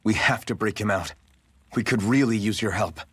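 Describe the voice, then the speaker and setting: A man's voice: lowish voice, normal speed, a bit bright, slightly rough, dry recording. An actor playing an earnest, determined young male rebel fighter in a video game. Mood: urgent, resolute. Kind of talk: video game cutscene acting.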